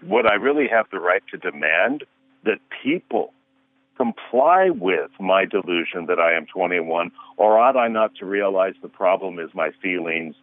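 Man, sixties, deep voice, earnest radio guest, dry tinny phone-line sound. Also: animated, normal speed, no room sound. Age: 60s. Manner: earnest, argues through rhetorical questions. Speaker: guest counselor. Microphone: telephone.

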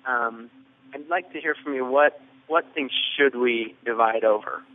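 A man's voice: mid-range voice, unhurried. A man calling in to a radio show, asking a question hesitantly with fillers and restarts. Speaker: caller. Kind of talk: call-in question. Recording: tinny phone line.

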